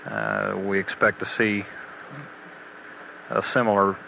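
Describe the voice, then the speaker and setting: A man giving a halting, matter-of-fact technical status briefing as a spaceflight mission commentator, on old radio audio. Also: on the low side, little pitch movement, gravelly. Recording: dull, noisy 1980s mission radio audio.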